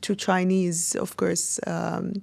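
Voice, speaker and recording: Chatty woman singer with creaky voice. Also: fairly low pitch, flat delivery, slow pace, a little mellow. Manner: chatty, laughs often, many fillers and restarts. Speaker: singer, podcast guest. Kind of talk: conversational interview.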